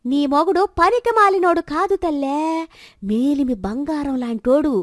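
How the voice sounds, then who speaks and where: A rustic woman fortune-teller, put on by a storyteller, high in pitch and recorded dry. She coaxes with sing-song endearments and delivers her prophecies with solemn assurance, as in a storybook drama. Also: normal speed, no echo, lightly acted. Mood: solemn, prophetic. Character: wandering village woman fortune-teller, soothing, prophetic, coaxing.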